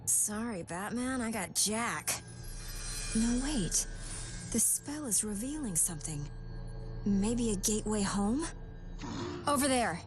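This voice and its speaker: An actress playing a wry, casual, quick-witted female superhero magician in a video game. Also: medium pitch, lively intonation, music in the background. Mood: focused. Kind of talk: video game cutscene acting.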